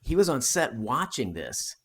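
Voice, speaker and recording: A man's voice: medium pitch, very animated, a little slow. An American man co-hosting a podcast, chatting casually about history and rambling with fillers and restarts. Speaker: podcast co-host.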